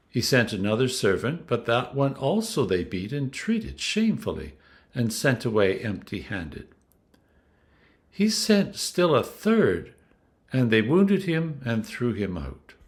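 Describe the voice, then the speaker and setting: Expressive man reading scripture, lively pitch. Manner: measured, dramatic storytelling delivery.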